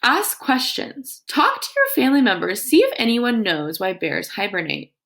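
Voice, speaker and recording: Expressive, creaky-voiced woman reading to children. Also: a little high. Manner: instructive, pausing to ask children questions. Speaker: teacher reading aloud to young children. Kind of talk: read-aloud lesson with commentary and questions.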